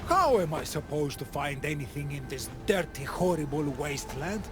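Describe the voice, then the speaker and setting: A fussy, indignant dead soul in a video game. His high voice is animated and peevish, full of haughty complaint, with music clearly underneath. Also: moderate pace. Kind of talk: video game dialogue acting.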